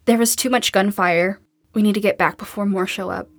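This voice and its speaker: An actress playing a hardened, grieving and self-blaming survivor in a post-apocalyptic audio drama, raw and emotional. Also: female voice, mid-range voice, steady tone, fairly quick, no echo, studio quality, natural voice. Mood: weary, subdued. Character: grief-stricken, hardened female survivor, self-blaming and guarded.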